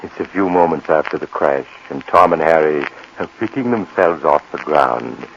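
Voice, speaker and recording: A man hosting and narrating a scripted 1940s radio mystery in an ominous, measured storytelling style. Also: extremely low pitch, animated, creaky. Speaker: host and narrator. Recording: muffled, noisy vintage radio recording.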